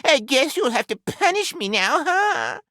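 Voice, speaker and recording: A flirtatious talking toy in a comic video game. The voice is pushed high and swoops around, slow and bright, with a coy, teasing coo. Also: male voice, big pitch swings, dry recording, clean studio sound, full character voice. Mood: coy, flirty. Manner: cooing, teasing.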